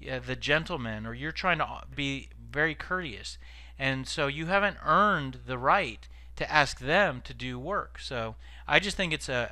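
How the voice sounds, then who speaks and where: A man presents practical training in a conversational, explanatory way. His voice is bright and forward at an average pitch, recorded dry and close, with key words given extra stress. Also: no echo. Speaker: sales trainer presenting tips. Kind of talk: informal instructional presentation.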